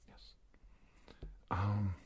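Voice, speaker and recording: A man playing a cautious, polite government investigator in a soft-spoken ASMR roleplay, speaking haltingly and often dropping to a whisper. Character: cautious, polite government investigator doctor confronting a disguised creature. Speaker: ASMR performer.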